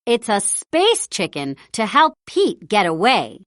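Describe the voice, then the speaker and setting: A woman narrating a children's storybook read-aloud in an expressive, deliberate storytelling style. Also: medium pitch, no room sound, studio quality. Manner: animated, deliberate storytelling delivery.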